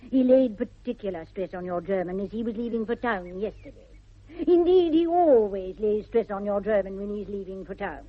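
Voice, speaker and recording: Severe, self-important English governess played by a woman, prim lecturing tone, husky, muffled vintage radio recording. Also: medium pitch, moderate pace, natural voice. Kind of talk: 1960s BBC radio comedy acting.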